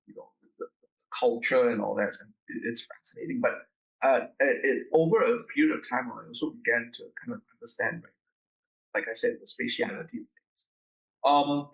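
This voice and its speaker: Halting, soft-spoken man, slightly muffled. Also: medium pitch. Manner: halting, many restarts and repeated words. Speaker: researcher guest. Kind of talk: conversational interview.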